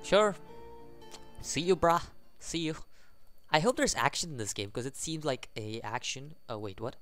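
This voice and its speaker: A man's voice: fairly high pitch, animated, moderate pace, fairly bright tone. Casual man doing game commentary over music. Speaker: gaming video host. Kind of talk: casual playthrough commentary mixed with reading game text aloud. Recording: faint music under the voice. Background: video game music.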